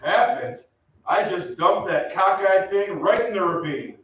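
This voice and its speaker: A man's voice: high-pitched, animated, measured pace, fairly bright tone, exaggerated voice. A man playing a brash, folksy, cocky American soldier in an old-time radio style stage show. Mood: gruff, exasperated. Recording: echoey stage, distant mic, muffled, faint noise.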